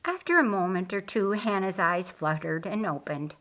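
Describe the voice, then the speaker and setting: A woman's voice: medium pitch, lively intonation, normal speed, fairly bright tone. A woman narrating a scripted story as an audiobook reader.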